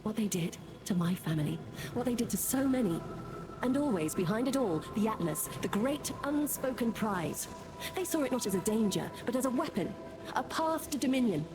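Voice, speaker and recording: A woman playing a grave, resolute survivor haunted by her past, speaking in a measured, deliberate way in a fantasy game. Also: a little high, animated, natural voice. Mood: bitter, solemn. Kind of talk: scripted fantasy game narration. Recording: music under the voice. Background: game music.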